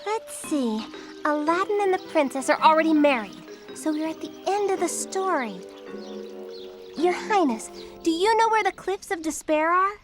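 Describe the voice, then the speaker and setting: High-pitched plucky cartoon girl detective. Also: animated, normal speed. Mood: confident. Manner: eager, bossy, brave. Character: plucky, confident young girl fairy-tale detective. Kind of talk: children's cartoon acting. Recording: loud music behind the voice.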